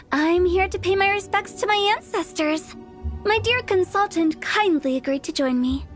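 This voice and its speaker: A very high, playful young girl's voice for an anime game. She is quirky and inquisitive, teasing and chatty with a theatrical flourish. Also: a little slow, soft background music. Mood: cheerful. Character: playful, quirky teenage girl funeral director, inquisitive and theatrical.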